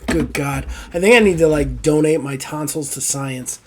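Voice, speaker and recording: A man hosting a casual podcast, hoarse and raspy after an illness, joking and swearing freely. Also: a little high, moderate pace, darkish tone, slight background noise. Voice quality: raspy, strained throat. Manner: joking, profane, self-mocking. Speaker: podcast host.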